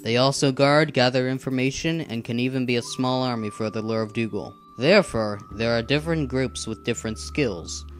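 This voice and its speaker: A man playing a patient, earnest animal assassin mentor in an animated drama, explaining things in a measured, instructive way. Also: mid-range voice, lightly acted. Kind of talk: scripted animated drama dialogue. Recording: background music under the voice.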